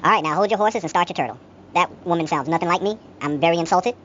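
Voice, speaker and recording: A man's voice: a little high, quick pace, fairly bright tone, dull audio, a little hiss or hum, pitched above the performer's own voice, lightly acted. A man putting on the voice of an indignant, sassy woman co-host who talks in a huffy singsong.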